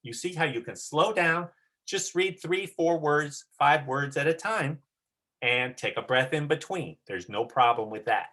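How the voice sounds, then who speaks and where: A drama teacher giving deliberate, emphatic instruction in short phrases during an online rehearsal. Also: medium pitch, animated, fairly bright tone. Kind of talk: instructional talk on a video call.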